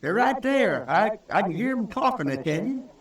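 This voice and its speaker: An actor playing an earnest, simple frontier townsman in an old radio western, folksy and halting, in a roomy vintage recording. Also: sits high, big pitch swings, distant mic. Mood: fearful, insistent.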